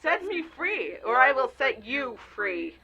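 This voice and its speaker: A woman as a shrill, menacing ghost girl. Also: very animated, pushed and bright, slightly rough, dull audio, heavily acted. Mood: threatening. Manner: slow, insistent, commanding. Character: menacing female ghost double demanding release. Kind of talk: audio drama acting.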